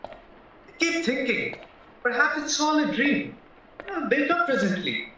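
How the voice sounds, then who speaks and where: A man's voice: extremely high pitch, sing-song, measured pace, sharp tone, a little hiss or hum, heavily acted. A man acting a nervous, naive young drifter in a radio play, chatty, polite and hesitant. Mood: anxious. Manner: anxious, polite, hesitant, rambling. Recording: roomy, distant, muffled, music underneath.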